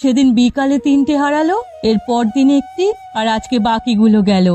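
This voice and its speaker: A woman voicing a scolding, indignant old village matriarch in an animated folk tale. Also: high voice, normal speed, faint music behind, close to an everyday voice. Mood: aggrieved. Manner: scolding, accusatory.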